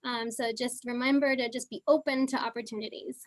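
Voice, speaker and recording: A woman's voice: a little high, fairly even intonation, a little fast. A young woman from Argentina with a Southern California accent, telling her story as a panelist in a casual way, with many fillers. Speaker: panelist, geochemist. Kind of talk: online panel talk.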